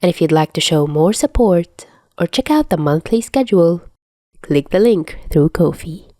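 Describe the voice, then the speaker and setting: A woman's voice: lowish voice, expressive, normal speed, mellow, no room sound, clean studio sound. A woman narrating a story as a podfic reader, in a scripted read-aloud style.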